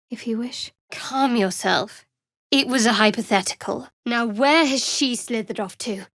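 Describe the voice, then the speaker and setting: A woman voicing a guarded, sardonic young cleric of a dark goddess in a fantasy video game, with a dry, measured, wry delivery. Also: a little high, no echo, close to an everyday voice. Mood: cool, wry.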